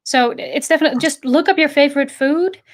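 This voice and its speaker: A woman's voice: sits high, fast. A woman chatting casually as a co-host, speaking in a friendly and reassuring way. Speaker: co-host, vegan activist. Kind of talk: casual chat. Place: online voice chat.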